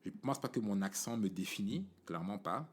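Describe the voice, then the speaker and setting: A man's voice: on the low side, animated, brisk, breathy edge. A man in his forties from Cameroon with a Cameroonian French accent, hosting a podcast in a casual, rambling monologue full of fillers and "tu vois" asides. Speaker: podcast host.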